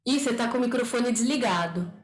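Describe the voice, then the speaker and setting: A woman's voice: fairly high pitch, quick pace. Chatty woman in an echoey room. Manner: welcoming, chatty, frequent "né?". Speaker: speech therapist host. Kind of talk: informal online study group chat. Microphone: computer or phone on a video call. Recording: roomy echo, faint noise.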